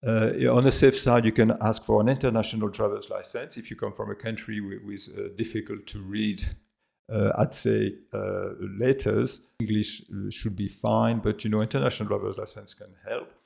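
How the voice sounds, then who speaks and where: A man's voice: lowish voice, fairly even intonation, moderate pace, gravelly, a little mellow. A middle-aged man with a French accent talks casually to camera, hesitant and full of fillers and restarts. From France and Australia. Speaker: expat vlogger.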